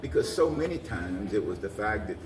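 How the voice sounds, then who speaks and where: A man's voice: fairly low pitch, big pitch swings, normal speed, crowd noise. A man giving earnest, rambling interview answers, often repeating his phrases. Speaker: guest, community organization president. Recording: echoey, distant mic, noisy room.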